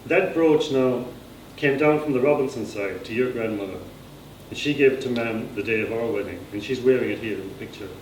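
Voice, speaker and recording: A man's voice: medium pitch, a little fast, natural voice. A man with an Irish accent voicing a welcoming, insistent country father in a story, coaxing and folksy. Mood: wistful. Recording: echoey room, distant mic, faint noise.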